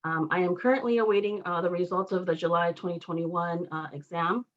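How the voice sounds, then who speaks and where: Halting low-voiced woman, native Spanish speaker. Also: fairly even intonation. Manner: hesitant, frequent "um" and "you know" fillers. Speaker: law graduate sharing her experience. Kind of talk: personal testimony at a public meeting. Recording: dull lo-fi audio.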